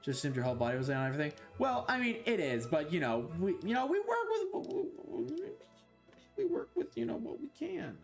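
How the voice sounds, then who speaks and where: A man's voice: fairly high pitch, huge pitch swings, a little fast, creaky, faint music behind. Rambling young man streaming. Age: young adult. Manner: scattered, rambling, swears a lot. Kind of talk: casual gameplay commentary. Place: bedroom. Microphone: headset.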